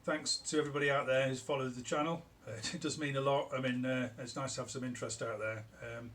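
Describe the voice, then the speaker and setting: A middle-aged man giving a casual, informal product demonstration in a small indoor workshop, explaining haltingly and repeating words for emphasis. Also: mid-range voice, fairly even intonation, fairly bright tone. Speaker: electronics builder presenting their own product. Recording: clear background noise in a room.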